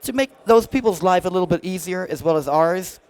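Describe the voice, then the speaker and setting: A man with a noticeably high voice presents a software demo. He talks casually and chattily, like someone showing gear to fellow enthusiasts, with a jokey, slangy manner. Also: animated, brisk, smooth. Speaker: product demonstrator at a music trade show.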